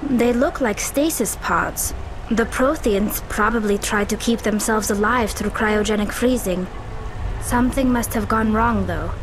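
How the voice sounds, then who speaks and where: A woman's voice: on the high side, measured pace, noisy background, lightly acted. An actress voicing a young alien female scientist, thoughtful and explanatory. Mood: earnest. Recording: game audio with strong music.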